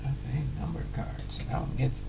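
A middle-aged man calmly calling out each trading card as he opens packs at home. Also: mid-range voice, vocal fry, very noisy. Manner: unhurried, announcing each card plainly. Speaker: card-breaking channel host. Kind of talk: casual pack-opening commentary. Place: home room with card displays.

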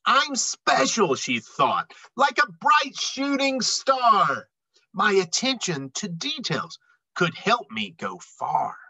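Animated man reading a children's picture book. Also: sits high, takes it slowly, vocal fry, husky, no room sound, low-quality recording. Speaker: read-aloud storyteller. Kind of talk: expressive children's picture book read-aloud.

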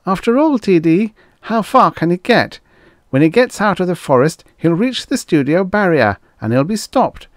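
A man's voice: high voice, big pitch swings, moderate pace, no echo, clean studio sound, voice pushed up. A man voicing a nervous, deferential male assistant, eager and fussy, in an audiobook story. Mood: reassuring. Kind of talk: acted audiobook dialogue.

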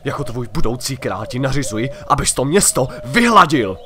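A man's voice: medium pitch, big pitch swings, pushed and bright, slight background noise. A man playing a proud, hot-tempered young prince in a fantasy audio drama, speaking coldly and imperiously. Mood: cold, commanding. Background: music.

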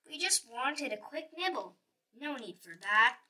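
A woman's voice: sits high, sing-song, measured pace, sharp tone, far from the mic, full character voice. A woman voicing hard-done-by grizzly bears in a storybook, mumbling and complaining. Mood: grumbly.

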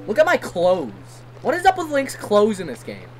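A man's voice: high voice, wildly swooping pitch, moderate pace, poor audio. A man giving casual, rambling gameplay commentary, self-deprecating and given to swearing. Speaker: let's play commentator. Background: game music.

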